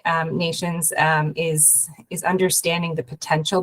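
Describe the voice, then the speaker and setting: A woman from Canada, hoarse from a cold, giving a halting webinar talk over a video call with many fillers and restarts. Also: on the low side. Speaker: guest speaker, investment program head. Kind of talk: webinar presentation.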